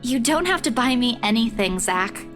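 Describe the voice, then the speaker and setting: A woman's voice: a little high, expressive, normal speed, music in the background, close to an everyday voice. A woman playing a guarded but considerate young woman in a visual novel, casual and lightly wry. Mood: guarded concern.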